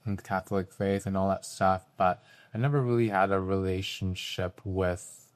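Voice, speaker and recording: Low-voiced man, relaxed and reflective. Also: moderate pace, clean tone. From Canada. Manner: relaxed, reflective, with frequent ums. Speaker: guest artist. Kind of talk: casual interview talk.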